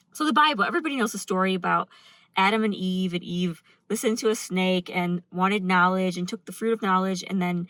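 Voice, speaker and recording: A woman's voice: medium pitch, fairly bright tone, no room sound, poor audio. A woman talking earnestly and emphatically in a casual vlog monologue, speaking off the cuff. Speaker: video host.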